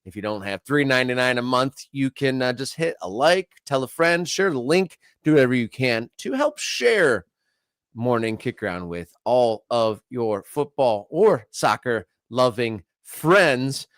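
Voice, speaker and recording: A man's voice: medium pitch, big pitch swings, a little slow, fairly bright tone, no echo, studio quality. A man hosting a casual soccer talk show, teasing his co-host and reading show plugs. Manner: joking, teasing.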